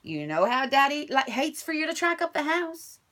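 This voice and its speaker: A woman's voice: sits high, sing-song, moderate pace, voice pushed up. A woman voicing mean, bossy young cowboy stepbrothers in a storybook, barking orders. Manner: loud, barking, mocking.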